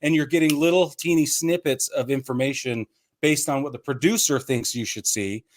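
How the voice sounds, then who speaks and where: A man's voice: mid-range voice, normal speed. A man co-hosting a casual sports podcast, explaining his opinions point by point in conversational talk. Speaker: podcast co-host.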